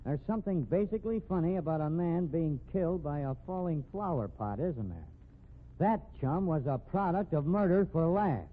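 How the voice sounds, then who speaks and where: Insistent male amateur detective, 1950s radio drama. Earnest, slangy, lively intonation, thin tinny recording. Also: fairly high pitch, normal speed. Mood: reading aloud.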